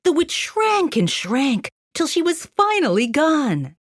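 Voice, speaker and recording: Animated woman storyteller, dry sound. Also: high-pitched, slow, no echo. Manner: expressive, sing-song. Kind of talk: scripted rhyming children's story narration.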